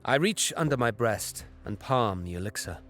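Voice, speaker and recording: A man playing a cruel, haughty alien raider warrior who narrates his hunt in a deliberate, menacing, disdainful way. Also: lowish voice, expressive, a bit bright. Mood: predatory, intent. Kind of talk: scripted dramatic first-person narration. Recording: music behind the voice.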